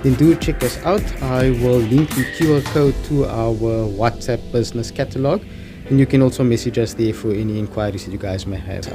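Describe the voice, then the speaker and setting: A man with a South African accent giving a casual, hands-on DIY tutorial, talking informally to his viewers. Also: medium pitch. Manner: informal, addresses viewers as guys. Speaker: presenter of a DIY installation tutorial.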